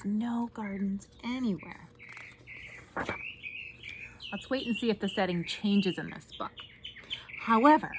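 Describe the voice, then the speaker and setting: Woman, 30s, expressive storybook reader, very varied pitch, taking her time, recorded in a garden with birds and light background noise. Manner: addresses young listeners, asks guiding questions. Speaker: teacher reading a picture book aloud. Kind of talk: expressive children's read-aloud with asides. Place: outdoors in a backyard garden. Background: birdsong, faint outdoor noise.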